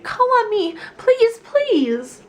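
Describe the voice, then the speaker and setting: A woman voicing an eager, excitable young girl mouse from a storybook, speaking emphatically. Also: very high voice, very slow, slight background noise, pitched above the performer's own voice, heavily acted. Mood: pleading.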